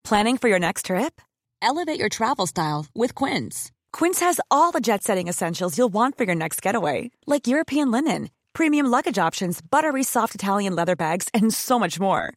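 A woman's voice: mid-range voice, big pitch swings, a little fast, no room sound, clean studio sound. A woman giving a scripted voice-over read for an advertisement.

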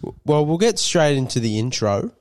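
A man's voice: fairly high pitch, big pitch swings, normal speed, darkish tone, smooth, no echo, studio quality. A man co-hosting a podcast, a bit nasal from a cold, rambling with lots of fillers and swearing. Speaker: podcast co-host. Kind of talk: casual chat.

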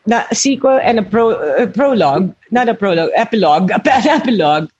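An older woman speaks with a husky, slightly breathy voice. She talks earnestly and reflectively about her work as an actress, searching for words and correcting herself as she goes. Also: mid-range voice, lively intonation, fairly quick, a little mellow, muffled recording. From Philippines. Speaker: actress, interview guest. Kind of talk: reflective interview talk.

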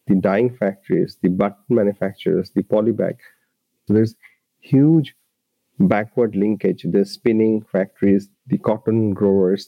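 Bangladeshi guy explaining stuff earnestly, kinda low voice, dull muffled audio. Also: no echo. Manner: earnest, explanatory, with frequent restarts. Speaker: labour rights advocate, guest. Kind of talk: interview answers.

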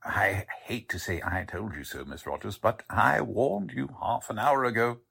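A man acting a fussy, smug company man with a crooked side in a 1940s-style radio drama, his voice husky. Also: fairly low pitch, normal speed, bright, forceful tone, heavily acted. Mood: fussy, flustered. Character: fussy company man who is secretly a smug crime boss.

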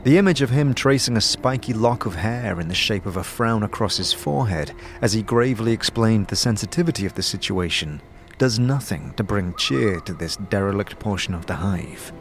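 A man narrating a scripted fantasy audio drama in a measured, dramatic storytelling manner. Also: on the low side, a little hiss or hum.

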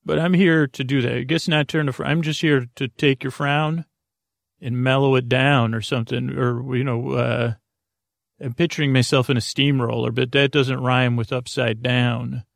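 A man with a gravelly, creaky voice hosts a bedtime podcast, drifting from thought to thought with plenty of fillers and false starts. His delivery is soft, self-deprecating and reassuring, and the recording is dry and close, with no room echo. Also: medium pitch, little pitch movement, normal speed. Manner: meandering and digressive, with many fillers and restarts. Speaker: podcast host. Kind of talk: rambling bedtime podcast monologue.

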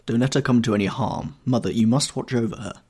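Man acting a rough, sullen young lad, husky. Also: medium pitch, quick pace, darkish tone, pitched above the performer's own voice. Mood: stern, insistent. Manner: harsh, blunt. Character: rough, stern, sullen young sailor son, protective and impatient. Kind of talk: audiobook character dialogue.

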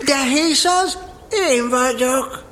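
An actor playing a slow, naive, good-natured toy bear in an audio drama, musing earnestly and politely. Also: high voice, fairly bright tone. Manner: musing, earnest, polite, hums nonsense syllables. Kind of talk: audio drama character acting.

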